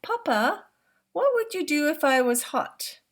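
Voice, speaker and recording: Small worried boy in a read-aloud storybook, asking eager questions, high and bright, played by a grandmother. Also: very animated, a little slow, full character voice. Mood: pleading. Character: young Maasai boy, curious and anxious. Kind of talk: read-aloud picture book.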